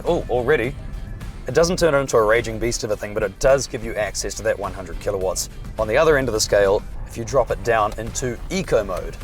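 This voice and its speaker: Hoarse kiwi bloke talking thru a car review from the driver's seat, dry little jokes. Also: male voice, lowish voice. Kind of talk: casual review while driving. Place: inside a moving car.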